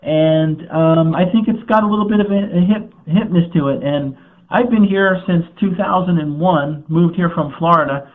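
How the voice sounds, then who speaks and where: A man joining by phone as a guest, talking in a measured, informative way. His voice sits a little high for a man, and the tinny line squeezes it thin. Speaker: automotive journalist guest. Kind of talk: interview answers.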